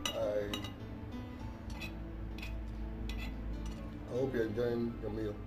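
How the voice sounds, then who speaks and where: An actor playing a proud, impatient husband with a Nigerian accent and formal, declamatory phrasing in a film drama. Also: male voice, on the low side, flat delivery, brisk, music in the background, lightly acted. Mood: hesitant, courting.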